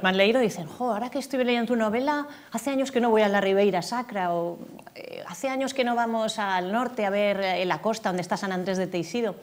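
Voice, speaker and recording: A woman from Galicia, an author being interviewed in a TV studio, talking with many fillers and restarts. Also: mid-range voice. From Galicia, Spain. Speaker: author guest.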